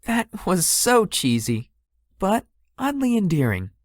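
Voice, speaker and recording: A woman's voice: medium pitch, sing-song, slow, no room sound, clean studio sound. A woman voicing a shy, polite young male tech executive in a visual novel, slightly formal and hesitant in manner. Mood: polite, curious. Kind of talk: visual novel character dialogue.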